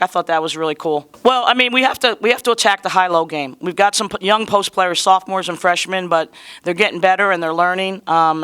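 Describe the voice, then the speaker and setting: A woman coach speaking at a postgame press conference, with a bright, forward tone and a smooth, clear voice. She is slightly hoarse from a cold and talks in an earnest, conversational way. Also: lowish voice. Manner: earnest, conversational, proud of her players. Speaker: basketball head coach.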